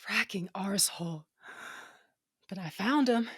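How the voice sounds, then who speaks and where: An actress playing a dying, foul-mouthed warrior woman, defiant yet loving, with weak breath and halting, blunt speech. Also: medium pitch, husky, no echo, clean studio sound. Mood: weak, tender defiance.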